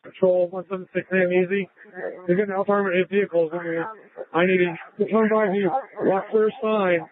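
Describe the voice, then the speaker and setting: A man's voice: high-pitched, level tone, normal speed, phone-quality sound. A male police officer making urgent radio reports, heard through a police scanner. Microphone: two-way police radio.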